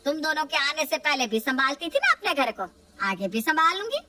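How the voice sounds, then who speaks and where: Brief: a woman playing a proud aging mother-in-law, sharp-tongued, high-pitched, recorded from a distance with faint hiss. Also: very animated, a little fast. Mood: scolding. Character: stubborn older mother-in-law, proud then remorseful.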